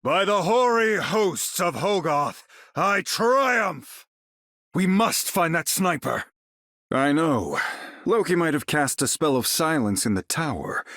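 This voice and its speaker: A proud male sorcerer supreme from a video game. His delivery is grand and formal, as if every line were an incantation, with very animated pitch swings. The sound is dry and close. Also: no echo, full character voice. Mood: commanding, urgent. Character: proud, battle-ready sorcerer supreme, grandly theatrical hero. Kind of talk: video game character voice lines.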